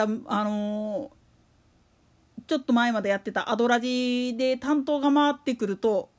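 A woman hosting a solo podcast, talking casually in chatty, slangy, colloquial speech. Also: high voice. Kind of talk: casual monologue about a radio announcer.

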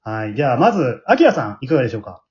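A man's voice: medium pitch, sing-song, very fast, a little mellow. A man with a Kansai accent hosting a casual round-table after-talk, often chiming in with small acknowledgements. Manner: frequent backchannels and chuckles. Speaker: host. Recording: dull, lo-fi sound.

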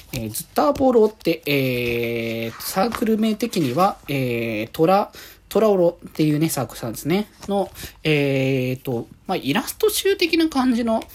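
A woman hosting a podcast, chatting casually on her own in halting, trailing phrases. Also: sits low, a little mellow. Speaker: podcast host. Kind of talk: casual solo chat about fan events.

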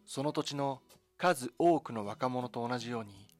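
A man narrates a documentary drama in a solemn, measured way. His voice sits at a medium pitch, and he sets out the story in short, deliberate phrases. Music plays underneath him. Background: background music.

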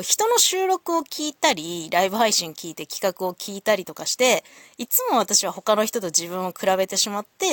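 A woman's voice: mid-range voice, dry recording. A woman hosting a solo audio show, talking casually to listeners in a polite, reflective, explanatory way.

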